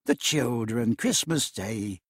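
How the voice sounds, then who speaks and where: A man voicing a mild, soft-spoken poor clerk and father in a storybook reading. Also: medium pitch, expressive, slow pace, slightly rough, no room sound, clean studio sound.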